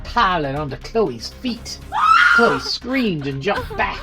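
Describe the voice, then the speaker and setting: A woman's voice: medium pitch, huge pitch swings, slow, shouting, loud music behind the voice. A woman reading a scripted horror story as its narrator.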